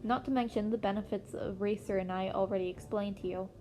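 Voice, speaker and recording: A woman voicing a grown male hero in an amateur audio story, weary and protective, gently scolding in a matter-of-fact way, with music underneath. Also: medium pitch, fairly even intonation, a little fast, slight background noise, close to an everyday voice. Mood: resigned.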